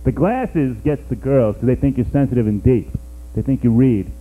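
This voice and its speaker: A man's voice: medium pitch, normal speed, phone-quality sound. A man from New York doing a rambling, self-mocking stand-up routine, talking to the crowd on a small cafe stage. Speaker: stand-up comedian.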